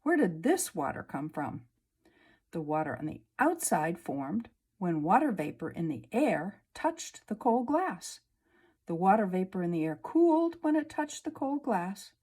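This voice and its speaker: A woman's voice: on the low side, big pitch swings, mellow. Woman reading a science book aloud. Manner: slow, clear storybook reading. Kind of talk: read-aloud lesson for children. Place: at a table indoors, reading printed pages.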